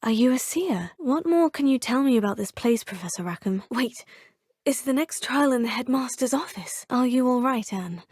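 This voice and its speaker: A woman's voice: fairly high pitch, animated, moderate pace, darkish tone, slightly rough, no echo, studio quality. An actress playing an earnest, curious young student witch, speaking politely and formally. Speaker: player character. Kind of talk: video game voice lines.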